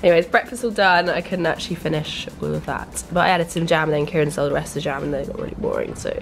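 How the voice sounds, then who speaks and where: A young woman with a croaky voice, chatting casually to camera in a vlog and teasing her partner. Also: deep voice, fast, music in the background. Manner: chatty, teasing, laughs often. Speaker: vlogger.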